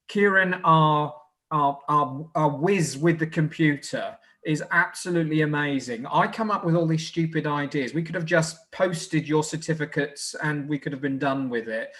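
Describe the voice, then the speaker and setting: An English man hosting informally as a scout group leader, with a hesitant, halting way of addressing people. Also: fairly high pitch, fairly bright tone. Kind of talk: informal closing address at online presentation evening.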